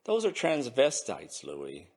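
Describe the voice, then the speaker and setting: Man playing a weary, subdued, husky small-time grifter. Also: huge pitch swings, slow pace, a little mellow, full character voice. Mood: dry correcting. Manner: subdued, hesitant, low-voiced. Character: small, weary, secretive grifter, troubled and guarded. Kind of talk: dramatised short-story reading.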